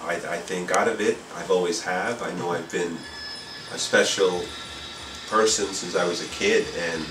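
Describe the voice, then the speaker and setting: A middle-aged man from Puerto Rico, raised in New York City, talking casually and a little haltingly as a fashion designer being interviewed. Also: low-pitched, fairly even intonation, recorded from a distance, noisy background. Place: designer's indoor studio with sewing machines. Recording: echoey room, off-mic, music behind.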